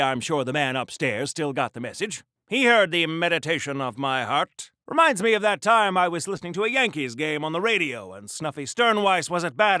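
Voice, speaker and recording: A man's voice: a little high, sharp tone, dry recording, studio quality. An actor playing an earnest, boastful, chattering American superhero man from the 1940s, in theatrical old-time radio serial style for a video game. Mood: earnest, rambling. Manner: wholesome old-time slang, theatrical, rambling.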